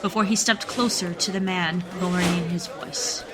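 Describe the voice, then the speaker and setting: A woman's voice: lowish voice, steady tone, moderate pace. A woman narrating a scripted radio drama in a storytelling style. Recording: music and crowd sounds behind. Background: music and crowd bed.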